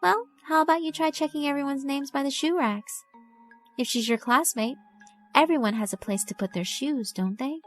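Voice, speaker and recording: A woman's voice: high voice, normal speed, pitched above the performer's own voice, lightly acted. A woman voicing a mysterious schoolgirl in a visual novel, knowing, teasing and uncanny. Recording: music behind the voice.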